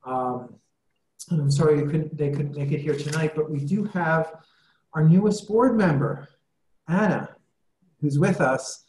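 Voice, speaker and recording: A man's voice: fairly high pitch, a little slow, pauses often, darkish tone, echoey room, rough recording. A man hosting a club board meeting on a video call, introducing the board members, hesitant with many ums and repeats.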